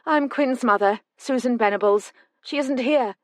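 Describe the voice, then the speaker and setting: A woman voicing an older mother who is frightened and tearful, talking in a tremulous, rushed outpouring. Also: on the high side, no room sound, clean studio sound, voice pushed up, natural voice. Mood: distraught.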